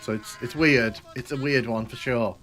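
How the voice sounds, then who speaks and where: A man's voice: mid-range voice, moderate pace, a bit bright, low-quality recording. A young man with a northwest English accent, chatting casually and rambling while streaming a game. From northwest England. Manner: chatty, rambling, self-mocking. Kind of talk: casual gameplay commentary.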